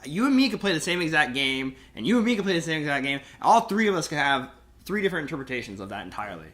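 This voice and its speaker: A man co-hosting a podcast in casual discussion, explaining things in an animated, halting, rambling way. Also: fairly high pitch. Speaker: podcast co-host.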